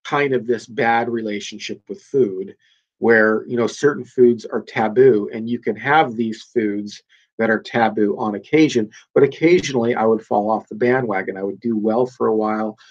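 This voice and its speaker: A man's voice: on the low side, normal speed, highs cut off. A man hosting his own podcast, talking off the cuff in an emphatic, rambling way with plenty of fillers and restarts, a little nasal and congested from a cold. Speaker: podcast host. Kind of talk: casual solo podcast monologue.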